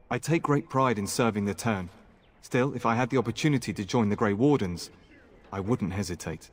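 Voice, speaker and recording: An actor voicing an earnest, dutiful young knight in a fantasy video game, formal and courteous. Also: male voice, on the low side, a little hiss or hum, close to an everyday voice.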